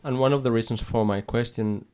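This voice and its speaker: A man's voice: medium pitch, steady tone, fairly quick, darkish tone, smooth. A man interviewing a guest, asking questions with some hesitation and fillers. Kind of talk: interview conversation.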